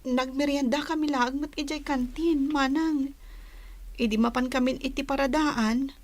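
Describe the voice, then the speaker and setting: A woman's voice: high voice, steady tone, normal speed, lightly acted. A woman playing an anxious, apologetic young daughter in a comedy drama, plaintive and hesitant, with a northern Philippine accent. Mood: pleading, apologetic. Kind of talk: amateur comedy drama acting.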